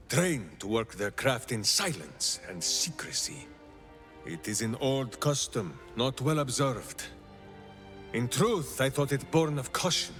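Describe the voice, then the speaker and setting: Game warrior: mid-pitched, lively swings, grandiose rambling storyteller, faint music behind. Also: male voice. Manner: grandiose, old-fashioned phrasing, rambling. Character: hearty, rambling male warrior, earnest storyteller from far land. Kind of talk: dramatic video game dialogue.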